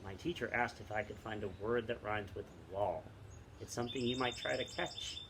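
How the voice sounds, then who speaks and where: A middle-aged man with a lowish voice talks casually about books as a teacher would. He pauses often mid-sentence, and wind blows clearly over the outdoor recording. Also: a bit bright. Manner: halting, pausing mid-sentence. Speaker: teacher and read-aloud co-host. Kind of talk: casual book recommendations and reading aloud. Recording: wind noise on the microphone.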